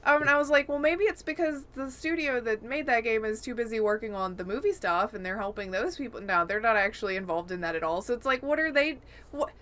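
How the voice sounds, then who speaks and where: Bright-voiced woman in casual podcast chat. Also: fairly high pitch, animated, fast talker, few pauses. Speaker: podcast host.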